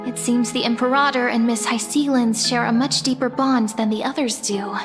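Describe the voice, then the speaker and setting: A thoughtful young game heroine acted by a woman speaks politely and inquisitively. Her voice is high, and music plays clearly behind it. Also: fairly even intonation, normal speed, lightly acted. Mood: pondering. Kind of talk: scripted video game character acting.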